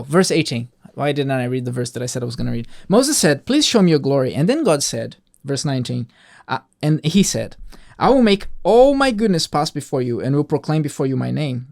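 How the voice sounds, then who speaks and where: Male host for children's scripture reading: highly expressive pitch, patient explanations, question-led. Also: creaky. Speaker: host of a Bible reading show.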